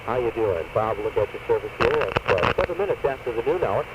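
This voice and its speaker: A man's voice: mid-range voice, animated, a little fast. A man presenting as a country radio disc jockey, with upbeat patter and promos. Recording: distant, muffled, noisy AM radio recording.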